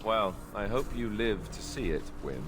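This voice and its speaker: A man's voice: on the low side, lively intonation, normal speed, a crowd in the background, lightly acted, rough recording. A man playing a grave, embittered warrior companion in a fantasy video game. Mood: grave, bitter. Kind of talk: dramatic video game dialogue.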